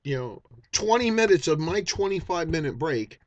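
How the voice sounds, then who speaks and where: A man in his fifties holds forth in a casual vlog, blunt and profane, stumbling over restarts as he goes. His pitch swings widely from phrase to phrase. The sound is dry and close, with no room echo. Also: big pitch swings, normal speed. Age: 50s. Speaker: vaping YouTuber. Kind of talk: unscripted vlog rant.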